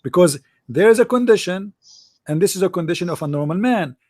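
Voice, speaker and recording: Arabic-accented male host, emphatic and repetitive in debate, higher-pitched, dry close sound. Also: expressive, a little fast, a little mellow, no echo. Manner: emphatic, repetitive, non-standard English grammar. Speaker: call-in show host. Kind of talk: argumentative religious debate.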